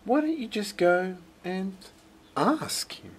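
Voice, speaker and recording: A man acts out an encouraging, unflappable mum from a picture book. The pitch sits well above his usual voice and lilts up and down, with some noise behind. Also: sing-song, takes it slowly, exaggerated voice. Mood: breezy, encouraging. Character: cheerful, encouraging, unflappable mother. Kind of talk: storybook character voice.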